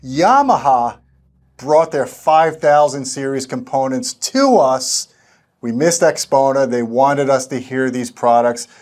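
A middle-aged American man with a mid-pitched voice talks as the host of an audio review show. He is chummy and enthusiastic, keeping the interview casual and conversational. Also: lively intonation, slow pace, a little mellow. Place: small indoor demo room. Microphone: lapel mic.

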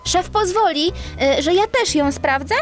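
A woman's voice: very high voice, expressive, faint music behind. A woman playing an eager, clever young female cat detective in a children's audio drama, earnest in manner. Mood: confident, eager. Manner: earnest, eager, quick to urge others on.

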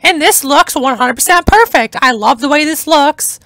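A young woman chatting casually through a game playthrough, hoarse from talking, rambling and enthusiastic. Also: sits high, expressive, normal speed, a bit bright, slight background noise. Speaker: gameplay narrator. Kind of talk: casual let's-play commentary.

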